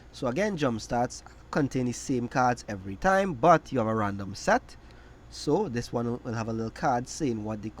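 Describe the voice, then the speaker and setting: A man with a cold, hoarse and raspy, casually reading out and reacting to trading card text. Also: medium pitch, a little mellow, slight background noise. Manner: reads card text aloud, reacts with quick asides. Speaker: card game reviewer. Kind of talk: casual card review commentary.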